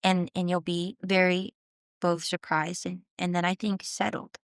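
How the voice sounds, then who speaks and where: A woman's voice: on the low side, flat delivery, a little slow, clean tone, no echo, clean studio sound. A woman in her twenties from Southern California, speaking as a guest on a casual podcast interview, earnest and reflective, often trailing off and restarting. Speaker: guest musician.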